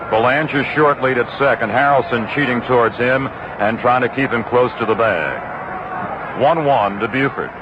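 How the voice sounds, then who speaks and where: A middle-aged man calling baseball play-by-play for radio in a measured, steady way that lifts on big plays. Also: medium pitch. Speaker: play-by-play announcer. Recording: muffled 1960s radio broadcast with loud noise.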